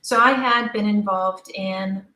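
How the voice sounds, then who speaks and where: A middle-aged woman chatting casually as an interview guest, telling stories in an animated way and acting out bits of quoted dialogue. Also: mid-range voice, slow pace. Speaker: interview guest, audio drama creator. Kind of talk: casual conversational storytelling. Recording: roomy, echoing sound.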